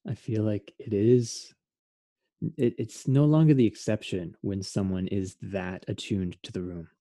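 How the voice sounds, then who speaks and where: A man's voice: lowish voice, steady tone, measured pace, warm tone, no echo, clean studio sound. A man, a theatre artist, hosting an earnest and reflective conversation. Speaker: interview host, theatre artist.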